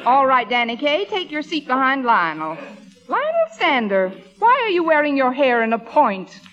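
High-pitched scolding schoolteacher. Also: female voice, wildly swooping pitch, normal speed. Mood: stern, exasperated. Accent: American. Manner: bossy, scolding. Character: stern, exasperated schoolteacher scolding her class. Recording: dull old radio recording, audience, music.

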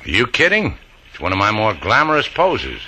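Over faint old-radio noise, a cocky American boat skipper from a 1940s adventure serial. He tosses out sardonic, breezy banter. The voice is sharp and forceful. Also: lowish voice, huge pitch swings, normal speed, full character voice.

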